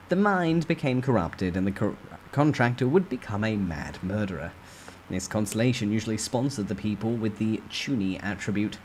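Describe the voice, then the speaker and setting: A man reading a novel aloud as a storyteller, with expressive, theatrical emphasis in a dramatic first-person narration. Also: lowish voice, a little hiss or hum, rough recording.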